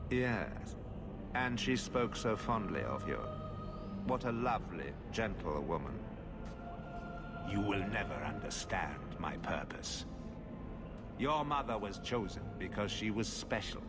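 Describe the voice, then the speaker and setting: An actor playing a deranged, lovesick necromancer killer in a video game, speaking theatrically and savoring each phrase. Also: male voice, lowish voice, animated, unhurried, long pauses. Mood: creepy calm. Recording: loud music behind the voice.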